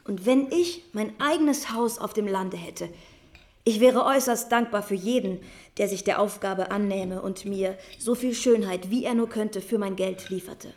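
A woman's voice: medium pitch, normal speed, darkish tone, close to an everyday voice. An actress playing a worldly, witty young society lady with a breezy, ironic manner in a radio drama. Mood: breezy, candid.

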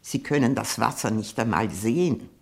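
An older actress in a radio play playing a very old, shrewd, greedy and haughty spinster aunt with a cracked voice. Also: female voice, extremely low pitch, vocal fry. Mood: suspicious, dismissive. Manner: slow, halting, haughty and blunt. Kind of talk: 1960 German radio play acting.

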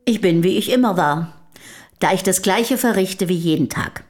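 Actress as a low-voiced, unruffled woman of the household. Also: animated, a little mellow, close to an everyday voice. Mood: composed, polite. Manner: measured, plain-spoken, unruffled. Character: composed, dutiful common-born companion of a famous poet. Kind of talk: German historical radio drama acting.

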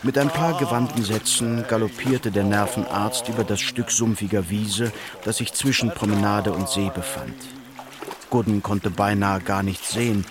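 Low-voiced man narrating a radio drama. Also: fairly even intonation, moderate pace, crowd noise. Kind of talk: scripted literary narration in a radio play. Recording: clear ambient noise behind the voice.

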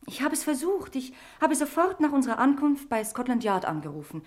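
Anxious, high-voiced woman confessing. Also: big pitch swings, brisk, darkish tone. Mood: confessional, tense. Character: frightened, confessing young woman with drug addiction. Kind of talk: German radio crime drama acting.

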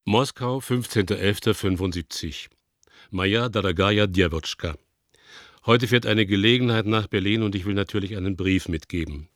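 An actor playing a worried, affectionate older father figure who reads his letter as a tender, measured, melancholy radio-play monologue. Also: fairly low pitch, a bit bright, no room sound, studio quality, close to an everyday voice. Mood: tender, concerned.

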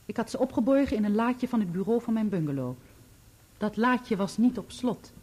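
A woman's voice: fairly high pitch, expressive, normal speed, warm tone, slightly rough. An actress playing a guarded, dignified woman witness in a Dutch radio play, answering with restraint and precision. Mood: composed, regretful. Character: composed, guarded woman witness testifying in court.

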